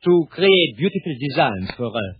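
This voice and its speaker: A man's voice: fairly high pitch, big pitch swings, moderate pace, breathy edge, heavily acted. An actor playing a flirtatious French male fashion designer, with a thick French accent and effusive gallant manner, in 1940s radio acting. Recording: tinny old radio broadcast.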